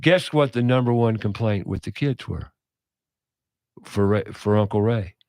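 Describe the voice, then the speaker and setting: A male radio host with a fairly low voice and a loud, passionate way of talking. He preaches his points with emphatic punches, recorded dry and close in a studio. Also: measured pace, no echo. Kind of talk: casual radio talk.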